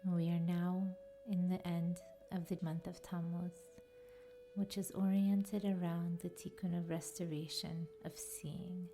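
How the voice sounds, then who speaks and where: Slow, soft woman guiding meditation. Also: lowish voice, flat delivery. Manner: soft, soothing, deliberate delivery. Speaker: podcast host and yoga nidra guide. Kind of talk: guided meditation narration. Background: faint music.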